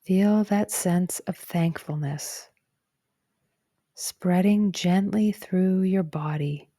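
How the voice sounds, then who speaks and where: A woman narrating a guided meditation in a gentle, calm and soothing way, with soft breathy phrase endings. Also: lowish voice, takes it slowly, no room sound, clean studio sound. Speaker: psychologist guiding a meditation.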